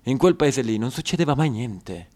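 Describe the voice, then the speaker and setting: A man's voice: medium pitch, animated, moderate pace, a little mellow. A man narrating a theatrical monologue on stage in a wry, storytelling manner with rhythmic lists. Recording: live theatre broadcast.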